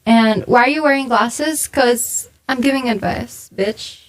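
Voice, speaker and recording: A woman's voice: a little high, low-quality recording. A woman streamer reading viewer confessions aloud and reacting in casual chat. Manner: exasperated, quick reading aloud.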